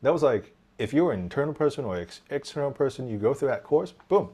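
A man's voice: medium pitch, fast talker, slightly rough. A man in his forties from Taiwan, a podcast guest telling his story animatedly on a video call with a headset, often restarting his sentences. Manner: animated, gestures while talking, frequent restarts. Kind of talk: casual interview storytelling. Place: home room indoors.

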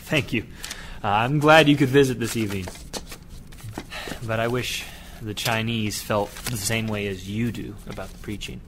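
An actor playing a devout, burdened missionary preacher in an audio drama, grave and fervent in manner. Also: male voice, fairly low pitch, normal speed, background noise, close to an everyday voice. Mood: weary, disheartened.

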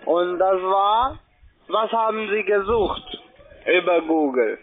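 High-pitched foreign-accented man on the phone. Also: expressive, slow pace. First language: not German. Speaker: call-centre agent selling online trading. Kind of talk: pushy phone sales call, questioning. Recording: narrow, tinny phone line.

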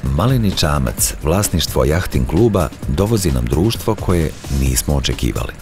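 A man narrating a travel documentary as a scripted voice-over, in a measured storytelling way. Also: rumbling low, very animated. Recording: voice-over with music behind.

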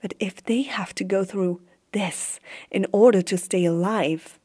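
A woman playing a stern, haunted warrior empress in a hushed, deliberate fantasy ASMR roleplay. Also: medium pitch, fairly even intonation, breathy edge. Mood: cold, disappointed. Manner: slow, hushed, deliberate dramatic delivery.

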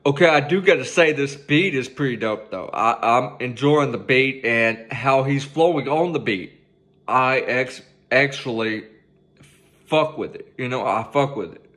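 A man's voice: mid-range voice, measured pace, fairly bright tone. A young man hosting a music reaction video from his living room on a headset mic, casual and swearing freely as he hypes up the song. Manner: laid-back, swears freely, hypes up the track. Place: living room at home, indoors.

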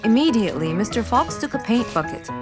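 A woman's voice: a little high, sing-song, brisk. A woman narrator reading a scripted children's story. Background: music.

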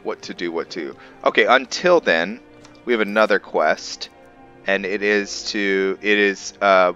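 A man giving casual video game commentary as a roleplay host, halting as he thinks aloud. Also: on the low side, pushed and bright, dull audio. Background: game music.

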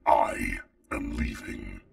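A menacing adult male dragon speaks in an audio drama with a deep, creaking growl. He is stern and scornful, stretching his words very slowly. The voice sounds distant. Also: dry recording, studio quality, exaggerated voice. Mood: cold, commanding. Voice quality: gravelly, growling. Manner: gratingly slow, cold, contemptuous.

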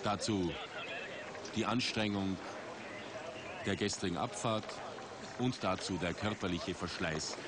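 A man commentating a ski race for TV, measured and rising in excitement at big moments. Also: low-pitched, a loud crowd. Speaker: sports commentator. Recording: 1980s TV broadcast with outdoor noise.

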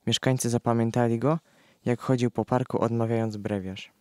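A man's voice: mid-range voice, fairly even intonation, normal speed, mellow, dry recording. Man narrating in a dry studio. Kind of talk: scripted narration of a historical radio play.

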